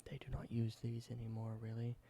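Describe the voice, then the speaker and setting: A man doing a whispered ASMR roleplay, explaining things slowly and correcting himself now and then. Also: mid-range voice, completely flat delivery, slight background noise. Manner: soft whisper, slow explanations with small self-corrections. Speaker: ASMR creator.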